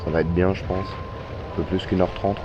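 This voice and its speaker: A deep-voiced man streams a video game, congested from a cold. He talks casually and haltingly, thinking aloud to his viewers. Game music plays clearly behind him. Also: level tone, muffled recording. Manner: hesitant, chatty, thinking aloud. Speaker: live streamer. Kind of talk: casual gaming livestream commentary.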